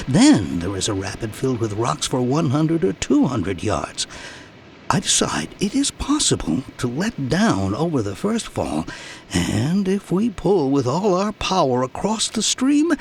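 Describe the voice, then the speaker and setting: A man narrating an explorer's journal as an audiobook, telling it in a measured, dramatic way with deliberate pauses. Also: medium pitch, vocal fry, a little hiss or hum.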